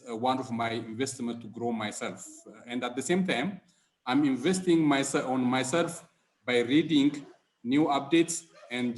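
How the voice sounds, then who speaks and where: A man taking part in a webinar, listing his points one by one with fillers and restarts. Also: mid-range voice. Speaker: webinar participant. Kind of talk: spontaneous remarks in a webinar. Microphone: video call.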